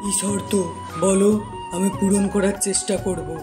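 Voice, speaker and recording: A man's voice: high voice, flat delivery, moderate pace, natural voice. Man acting an inquisitive village man in a cartoon. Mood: challenging. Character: village men, a headman and a curious neighbour. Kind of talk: Bengali cartoon moral story dialogue. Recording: music bed under the voice.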